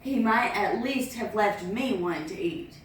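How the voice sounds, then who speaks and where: A woman's voice: medium pitch, measured pace, a little hiss or hum, close to an everyday voice. A woman voicing a fussy older male master of a big house, pompous in a storybook reading. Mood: grumbling. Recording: echoey room, distant mic.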